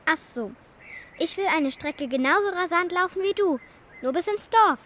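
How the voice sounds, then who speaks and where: A woman's voice: very high-pitched, expressive, normal speed, fairly bright tone, a little hiss or hum. A female performer in a children's radio play playing a determined, impatient young girl who speaks in a direct, childlike way. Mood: subdued.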